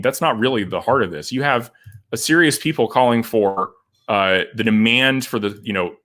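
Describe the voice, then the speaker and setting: A man hosting a livestream, giving legal commentary and answering viewer comments, often halting and restarting as he thinks aloud. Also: on the low side, fairly bright tone. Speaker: lawyer and show host.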